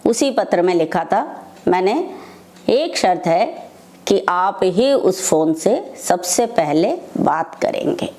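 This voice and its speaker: An older woman shares personal stories of her faith. Her voice sits slightly low for a woman. She speaks very slowly, breaking her account into short phrases with pauses between them. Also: sing-song, dark tone. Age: older, over 60. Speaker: devotee sharing personal testimony. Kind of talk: devotional personal storytelling.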